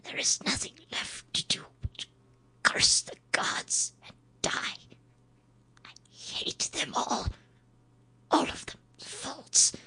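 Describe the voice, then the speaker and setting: A woman playing a bitter, dying old mother in a Norse radio drama, with a breathy, raspy, strained voice. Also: pushed and bright, in a whisper, low-quality recording. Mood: bitter despair. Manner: slow, strained, gasping delivery.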